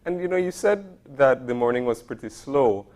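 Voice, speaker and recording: A man's voice: mid-range voice, lively intonation, moderate pace, darkish tone. A man hosting a morning TV show, interviewing a guest in a friendly way with many fillers. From Belize.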